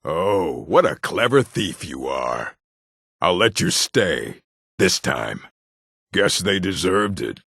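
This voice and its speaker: An actor playing a gruff, aggressive orc warrior in a video game, with a rough, gravelly voice and a blunt, menacing manner. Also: male voice, low voice, very animated, slow pace, a bit bright, no echo, studio quality, exaggerated voice. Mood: gruff, wary. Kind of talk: video game character barks.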